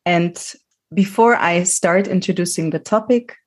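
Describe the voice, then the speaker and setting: A woman with a low voice hosts a podcast interview. Her tone is husky and her phrasing is earnest and deliberate, as in a prepared introduction to a serious conversation. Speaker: podcast host.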